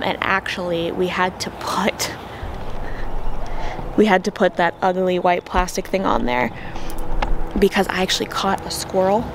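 A woman's voice: medium pitch, noisy background. An American woman in her thirties chatting expressively and informally to camera as she gives a casual vlog tour outdoors. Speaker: gardening vlogger. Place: outdoors in a suburban backyard.

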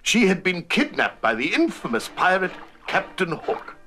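Lively high-pitched male storybook narrator. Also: normal speed. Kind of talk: scripted children's story narration.

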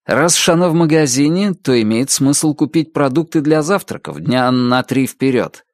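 Expressive male audiobook narrator, dry close sound. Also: moderate pace, dry recording. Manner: lively, expressive reading with varied pitch. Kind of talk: audiobook reading of a detective novel.

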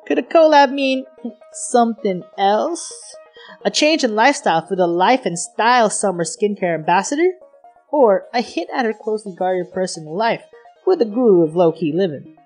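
A woman's voice: medium pitch, darkish tone, lightly acted. A woman playing a gushing, slangy young celebrity-gossip video reporter in an audio drama. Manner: peppy, slangy, teasing. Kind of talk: online gossip news patter. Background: music.

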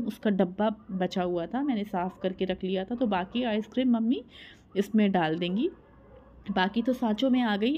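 A woman in her thirties with a Hindi accent talks through her home vlog at an easy, explaining pace, dropping small "uh" fillers as she goes. Her voice sits at an ordinary middle pitch. The recording is slightly dull and lo-fi. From India. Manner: conversational, explains step by step, frequent "uh" fillers. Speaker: vlogger. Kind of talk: voice-over narration of a home vlog.

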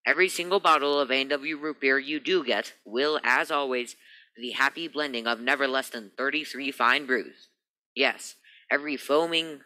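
Man as very bright vintage radio announcer. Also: medium pitch, dry recording, poor audio. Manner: crisp, salesy, emphatic. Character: upbeat, polished 1940s radio announcer and pitchman. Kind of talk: 1940s radio announcing and advertising.

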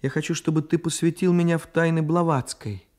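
A man's voice: a little high, steady tone, brisk, a little mellow, voice pushed up, close to an everyday voice. Man voicing a timid, coaxing young girl. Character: curious, coquettish tomboy young girl, pleading.

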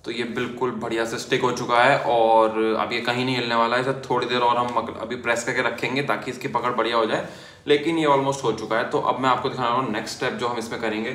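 Young male vlogger, bright casual delivery, echoey small room. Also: mid-range voice, flat delivery, fast. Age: young adult. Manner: chatty, addresses viewers as bhai and yaar. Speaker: motovlogger. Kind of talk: casual product demo. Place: small indoor room at a desk.

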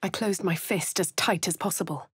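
A woman with a posh English accent voicing a wry young female adventurer in a video game, crisp and clipped. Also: on the low side, steady tone, normal speed, dry recording. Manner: crisp, clipped, dryly sardonic. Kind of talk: video game character voice lines. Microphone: close studio mic. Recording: dry studio recording.

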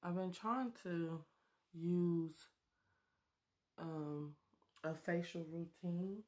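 A woman's voice: fairly low pitch, drawn-out words, a little mellow. A woman in her thirties chatting and joking with viewers while doing her makeup, recorded close on a webcam in a small bedroom. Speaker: beauty vlogger. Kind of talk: casual makeup tutorial chat.

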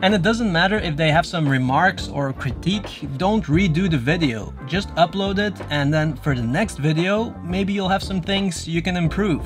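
A man's voice: on the high side, expressive, normal speed, hardly any pauses, music in the background. A man in his thirties with a Dutch accent, a native Dutch speaker giving earnest advice straight to camera from a dim room, gesturing as he explains. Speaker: YouTuber. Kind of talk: direct-to-camera advice vlog. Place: dim room with shelves, indoors.

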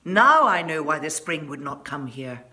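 A woman's voice: low-pitched, big pitch swings, moderate pace, bright, forceful tone, full character voice. A woman voicing a tender, remorseful male giant from a storybook, speaking emphatically and earnestly. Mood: earnest, generous.

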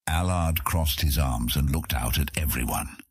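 A man reading scripted fantasy narration as an audiobook narrator, with a husky voice. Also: a bass voice, unhurried, dry recording.

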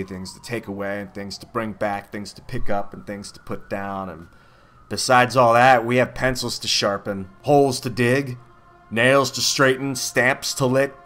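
A man's voice: mid-range voice, fairly bright tone. A man voicing a sly, villainous demon of petty tasks in a storybook, with a theatrical, menacing and deceitful manner.

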